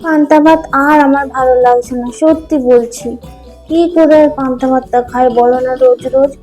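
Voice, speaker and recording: A woman's voice: high voice, fairly even intonation, moderate pace, dark tone, close to an everyday voice. A woman voicing a young poor village boy in a cartoon, tender-hearted and pleading in a plaintive way. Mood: whining, pleading. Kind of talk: cartoon dubbing. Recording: music under the voice.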